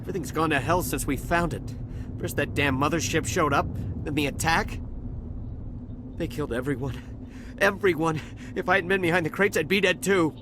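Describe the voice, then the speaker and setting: An actor playing a shaken, cowardly dockworker in a video game, speaking haltingly and nervously. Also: male voice, on the high side, background noise, lightly acted. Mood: bitter, shaken. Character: shaken, sheepish, cowardly male dockworker survivor. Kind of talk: dramatic game dialogue. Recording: game soundtrack music behind voice.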